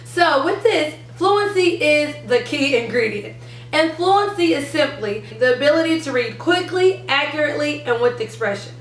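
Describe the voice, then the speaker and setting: A woman's voice: sits high, lively intonation, moderate pace, faint music behind. A young woman presenting a how-to lesson as a reading teacher, explaining step by step and stressing key words. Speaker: reading teacher and channel host. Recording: roomy, distant mic, faint noise.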